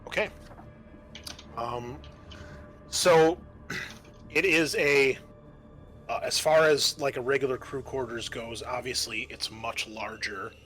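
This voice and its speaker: A man running a tabletop game, describing scenes in a halting, conversational way. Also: medium pitch, fairly even intonation, fairly bright tone, soft background music. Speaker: game master. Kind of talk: improvised tabletop game narration.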